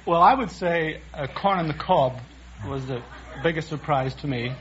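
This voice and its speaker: A man's voice: fairly high pitch, moderate pace. A man answering as a contestant on an old radio quiz show. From Ireland. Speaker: instrument engineer. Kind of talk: unscripted interview answers. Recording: muffled noisy old radio, audience present.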